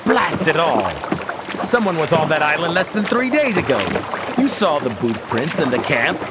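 Stern, expressive sea captain acted by a man. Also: fairly high pitch, moderate pace, heavy background noise. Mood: angry, defiant. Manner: gruff, commanding, old nautical speech. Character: stern, wary, defiant male sea captain. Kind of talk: pirate radio drama acting.

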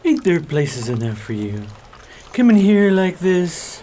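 A woman playing a pushy, crude male suitor in radio theatre, blustering and needling in rough-spoken words. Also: lowish voice, big pitch swings, measured pace, warm tone, a little hiss or hum. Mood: indignant. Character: pushy, besotted male pest, crude and indignant.

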